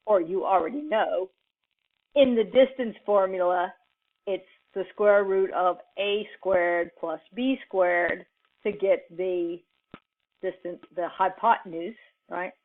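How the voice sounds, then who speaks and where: A woman teaching in an informal lecture style, explaining step by step in a halting, deliberate manner with pauses mid-sentence. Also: mid-range voice, steady tone. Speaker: computing instructor. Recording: muffled, phone-like narrowband audio.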